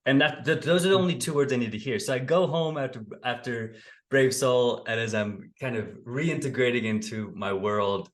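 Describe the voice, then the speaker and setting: A man's voice: mid-range voice, moderate pace. A man in his thirties hosting a podcast interview over a video call, affirming and enthusiastic, speaking into a desk mic in his home office. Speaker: podcast host. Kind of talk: warm interview conversation. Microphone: desk condenser mic, video call.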